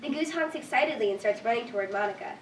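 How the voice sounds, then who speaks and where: A woman's voice: a little high, animated, very fast. A woman reading scripted stage directions as narrator at a staged reading. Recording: distant echoey room, faint noise.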